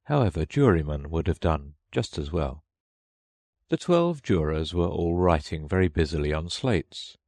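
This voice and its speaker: A man's voice: rumbling low, animated, moderate pace, darkish tone, dry recording. A man reading a children's story aloud as scripted audiobook narration.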